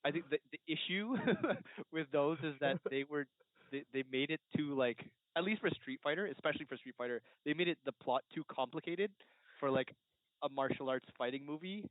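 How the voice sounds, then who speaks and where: A man on a podcast panel chatting casually, haltingly searching for his words. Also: fairly high pitch, dry recording. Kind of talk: casual chat. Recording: muffled narrow-band phone-like audio.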